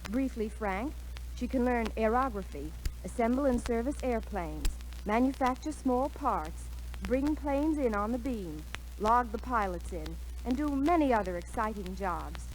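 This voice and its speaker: A woman's voice: a little high, animated, unhurried. An American woman speaking as a recruitment spokeswoman in a scripted 1940s radio interview. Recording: noisy vintage 1940s radio recording.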